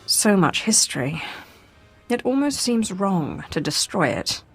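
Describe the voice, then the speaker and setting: A woman's voice: medium pitch, very animated, a little slow, slightly rough, heavily acted. A woman playing a self-assured Sith in a video game, composed and coolly persuasive. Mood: thoughtful. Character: poised, self-assured female Sith, smoothly charming and persuasive. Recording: music behind the voice.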